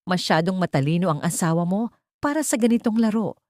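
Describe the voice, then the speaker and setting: A woman's voice: fairly low pitch, animated, fairly quick, darkish tone, dry recording, clean studio sound, natural voice. A woman voicing a sly, sweet but venomous mistress in an audio drama, mixing English with Tagalog. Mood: sly taunting. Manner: sugary, switching between English and Tagalog.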